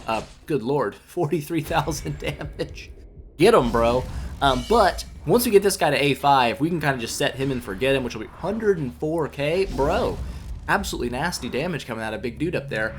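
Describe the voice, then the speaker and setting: A young man giving relaxed, rambling commentary while playing a mobile game, a bit congested from a cold, with lots of filler words and self-mocking asides. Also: on the high side, normal speed, faint music behind. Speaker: gaming YouTuber. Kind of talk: casual gameplay commentary.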